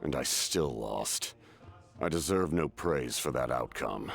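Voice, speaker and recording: Very deep, gravelly ancient warrior. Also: male voice, lively intonation, full character voice. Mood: wistful. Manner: solemn, measured, formal. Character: weary, proud ancient male warrior, grave and honorable. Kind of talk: dramatic game voice acting. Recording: game music behind.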